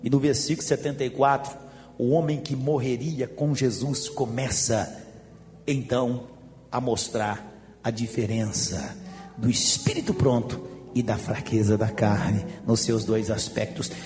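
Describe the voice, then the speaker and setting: A man, preaching a sermon as a pastor. He swings from shouts to quiet asides and jokes. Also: mid-range voice, fairly even intonation, measured pace. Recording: long hall reverb with faint music.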